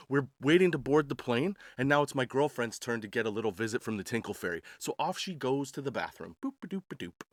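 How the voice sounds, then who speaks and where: A man's voice: mid-range voice, expressive, brisk, dry recording. Man in a dry, close recording. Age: 40s. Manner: slangy, crude, sarcastic, addressing listeners as dude. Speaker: podcast host telling travel stories. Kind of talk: casual comedic storytelling.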